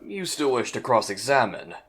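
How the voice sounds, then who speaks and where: A man's voice: medium pitch, big pitch swings, normal speed, very noisy, natural voice. A man voicing a cold, menacing male prosecutor in a fan audio drama, speaking in clipped threats. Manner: clipped, menacing, calls others "little lamb".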